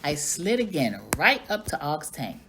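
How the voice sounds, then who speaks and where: A woman reading a children's story aloud like a teacher, with expressive narration. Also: deep voice, measured pace, a little hiss or hum.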